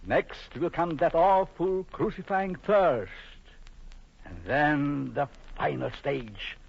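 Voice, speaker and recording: Sinister, husky jealous doctor, old radio. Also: male voice, a little high, takes it slowly, full character voice. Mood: gloating menace. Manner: menacing, mock-polite, theatrical. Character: vengeful jealous husband, coldly sinister doctor with mock courtesy. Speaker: actor. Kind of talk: vintage radio horror acting. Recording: muffled vintage radio broadcast.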